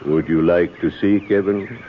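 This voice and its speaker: A man's voice: sits low, measured pace. An actor playing a deferential, reserved male camp helper in an old-time radio drama, speaking in a formal, terse way. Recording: tinny, narrow vintage radio recording, faint noise.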